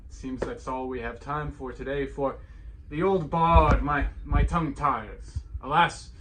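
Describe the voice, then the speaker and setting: A man's voice: mid-range voice, a little slow, fairly bright tone, lightly acted. A man playing an old bard, narrating heroic tales in a grand, theatrical storytelling manner. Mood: grandly apologetic. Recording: echoey room, clear background noise.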